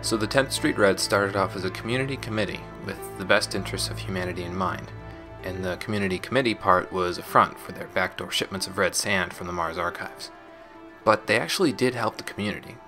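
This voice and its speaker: A low, measured voice from a man acting as a former gang member looking back. The delivery is reflective and explanatory, like a scripted sci-fi audio log, with music playing clearly underneath. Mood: measured reflection. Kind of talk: scripted in-character audio diary narration.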